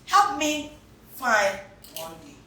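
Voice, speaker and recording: Storybook heroine: insistent, questioning young girl, read by a woman, unhurried delivery, very echoey room. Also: fairly high pitch, big pitch swings, sharp tone, pitched above the performer's own voice, heavily acted. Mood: worried, insistent. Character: determined young girl searching for her lost hen. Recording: very echoey room, distant mic, faint noise.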